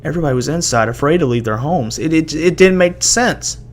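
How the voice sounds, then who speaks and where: A man with a gravelly voice giving a halting, dramatic first-person reading of a horror story as an amateur narrator. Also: on the high side, expressive. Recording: noisy amateur home recording.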